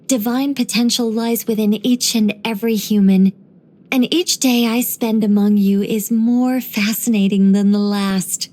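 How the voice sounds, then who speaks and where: A woman voicing an ancient angel in a video game, dignified yet whimsical and chatty, with a measured, gently playful delivery. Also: a little high, steady tone, close to an everyday voice. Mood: wistful, serene. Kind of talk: video game character voice lines.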